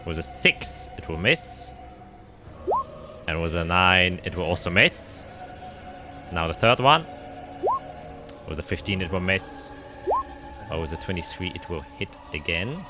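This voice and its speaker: A man's voice: deep voice, lively intonation, a little slow, long pauses, over loud music. A man narrating a tabletop roleplaying game as game master, describing scenes and calling out dice results.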